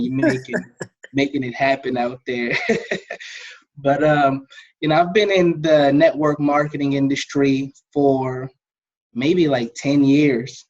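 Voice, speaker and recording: Man, hoarse from a cold, flat even delivery, friendly, muffled lo-fi line. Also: mid-range voice. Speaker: guest trainer. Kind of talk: motivational talk on a call. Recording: dull lo-fi call audio.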